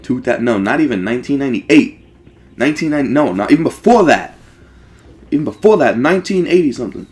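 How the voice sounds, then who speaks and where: A man giving casual, rambling, profanity-laced gaming commentary with excitable fan talk. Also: mid-range voice, quick pace. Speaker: let's play host.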